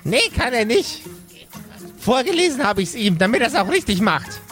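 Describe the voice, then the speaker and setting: A man's voice: very high voice, sing-song, moderate pace, a bit bright, faint music behind, voice pushed up, heavily acted. A man voicing a teasing, patronizing adventurer companion in a fantasy audio play. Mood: smug.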